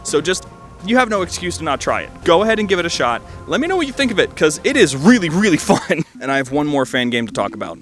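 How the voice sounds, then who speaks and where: A man's voice: fairly high pitch, sing-song, quick pace, a bit bright, faint music behind. A man giving casual, enthusiastic video game commentary as a host, stressing points by repeating words.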